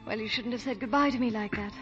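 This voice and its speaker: Woman acting a high-voiced, devoted young sweetheart. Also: fast talker, a bit bright, natural voice. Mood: playful. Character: ailing young woman, devoted, romantic, brave. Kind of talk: 1940s radio acting. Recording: muffled 1940 radio broadcast with music.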